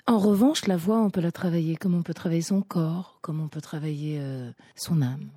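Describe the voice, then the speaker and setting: A woman of about fifty from Italy, speaking French, answering interview questions in a soft, thoughtful way, pausing to find her words. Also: low voice, slightly rough, no room sound. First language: Italian. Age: around 50. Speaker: singer and guest. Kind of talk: reflective interview talk.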